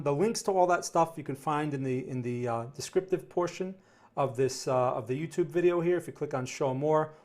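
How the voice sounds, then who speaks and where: A man with a New Jersey accent speaks with a slightly raised pitch. He hosts a casual live stream, answering chat questions in loose, rambling talk. He repeats words and keeps checking in with "right?" and "okay?". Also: a little mellow. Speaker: trading stream host. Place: home studio near New York City.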